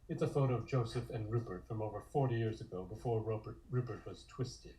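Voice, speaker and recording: A man's voice: fairly low pitch, darkish tone, rough recording. A man narrating scripted scenes in the present tense for a comic table read.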